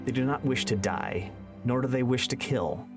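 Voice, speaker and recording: A solemn elder-like teller of island legends, acted out by a man, speaking gravely and deliberately. The delivery is a reverent, reproachful fantasy story reading with faint music behind it. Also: mid-range voice, close to an everyday voice. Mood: grave, accusing.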